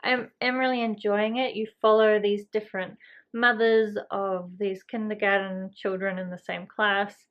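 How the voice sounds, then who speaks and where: A woman's voice: medium pitch, flat delivery, moderate pace. A woman chatting casually to camera as a book vlogger, rambling along with self-deprecating, trailing asides. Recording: slightly dull lo-fi home recording.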